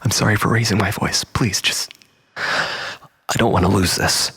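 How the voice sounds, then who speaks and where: A man's voice: lowish voice, steady tone, measured pace, bright, forceful tone, big-room sound, slight background noise. A man playing a desperate, manipulative, self-pitying lover in a dramatic monologue, stammering and repeating himself as he swings between pleading and bitterness. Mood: tearful pleading.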